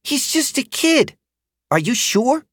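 A man's voice: extremely high pitch, no echo, clean studio sound, voice pushed up, heavily acted. A man voicing a young female dragon rider who is flustered but kind, talking anxiously and apologetically in an audiobook. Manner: anxious, apologetic, quickly talking herself calm. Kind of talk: audiobook character voice.

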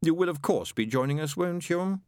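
A man's voice: fairly high pitch, animated, moderate pace, darkish tone, clear, resonant tone, no echo, clean studio sound, voice pushed up, natural voice. Man playing a pompous, imperious heavenly spokesman. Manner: stately, formal, coolly insistent.

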